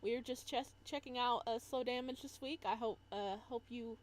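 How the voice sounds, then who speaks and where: A woman's voice: fairly high pitch, normal speed. A woman live-streaming a game in a casual style, reading on-screen text aloud and adding chatty asides.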